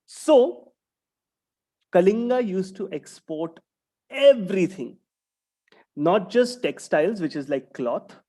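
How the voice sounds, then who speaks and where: Guy, high voice, talking to school kids over a video call, keeps saying right?. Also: animated, slow, long pauses, warm tone. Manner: tags sentences with a questioning "right?". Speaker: guest speaker for schoolchildren. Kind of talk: online talk to young students.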